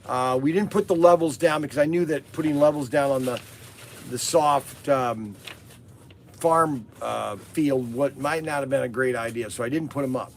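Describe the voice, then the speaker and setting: A man hosting a casual live podcast, hoarse from losing his voice, rambling through a story with many fillers and restarts. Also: medium pitch, normal speed, slight background noise. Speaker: host of a toy business live podcast.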